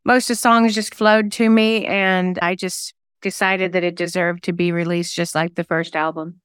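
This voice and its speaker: A woman's voice: on the low side, little pitch movement, moderate pace, clear, resonant tone, dry recording, clean studio sound. A woman who writes songs, chatting casually as an interview guest. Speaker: singer-songwriter guest.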